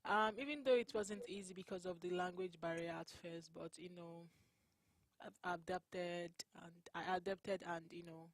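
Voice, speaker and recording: A young woman from Nigeria with a Nigerian accent, whose first language is Igbo, answering interview questions in an earnest, unhurried way and laughing often. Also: on the low side, steady tone, no echo. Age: young adult. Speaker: college basketball player.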